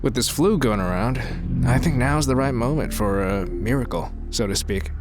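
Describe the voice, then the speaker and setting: A man acts out a wealthy, self-important suitor in an audio drama. He talks in a middle-pitched voice, boastful and eager to impress, with clear music running behind him. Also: expressive, moderate pace, lightly acted. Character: boastful wealthy man, smitten and flattering on a date.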